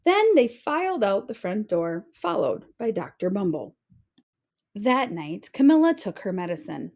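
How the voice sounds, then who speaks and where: Female storybook narrator, teacher style: mid-pitched, highly animated, dramatic emphasis. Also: a little slow. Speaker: teacher reading a picture book aloud. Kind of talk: expressive scripted storybook narration.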